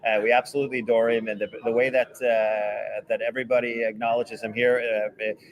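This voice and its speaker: A man co-hosting a casual travel news chat over a video call, talking haltingly with many fillers. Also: mid-range voice, little pitch movement. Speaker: co-host.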